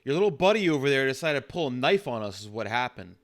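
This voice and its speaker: A man's voice: medium pitch, very animated, quick pace, pitched above the performer's own voice. A man voicing a stern, aggressive, fed-up police officer in an improvised roleplay, barking and repeating himself. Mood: agitated, blunt.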